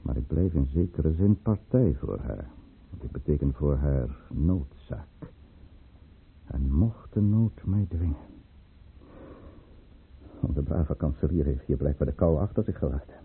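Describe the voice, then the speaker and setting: A man's voice: extremely low pitch, animated, a little slow, vocal fry, long pauses, slightly rough, full character voice. An actor playing a calculating, commanding statesman in a radio play, speaking formally and theatrically. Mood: brooding. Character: calculating, commanding statesman with secrets, in a troubled love affair. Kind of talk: Dutch radio play acting. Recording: muffled, phone-like old radio play recording.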